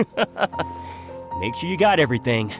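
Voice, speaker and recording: A man's voice: on the high side, very animated, slow pace, vocal fry, full character voice. A man voicing a cheerful, generous male food vendor who laughs often, in a video game. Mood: jovial. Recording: game audio with faint background music.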